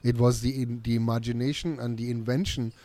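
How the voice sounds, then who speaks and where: A man's voice: medium pitch, steady tone, brisk, dark tone, no echo. A man speaking as a dog-trainer guest on a podcast, earnest and emphatic about his pet peeve. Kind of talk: casual conversation.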